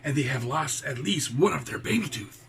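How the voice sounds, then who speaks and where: A man's voice: mid-range voice, expressive, moderate pace, gravelly. Guy reading a mama dragon in a kids book, patient n explaining, noisy audio. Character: patient mother dragon explaining rules to her child. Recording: noisy, with background ambience.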